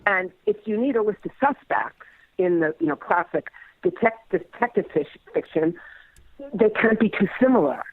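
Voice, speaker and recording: A man's voice: high voice, lively intonation, moderate pace, dry recording, phone-quality sound. A man speaking reflectively as an interviewed playwright, hesitant and repeating words as he searches for phrasing.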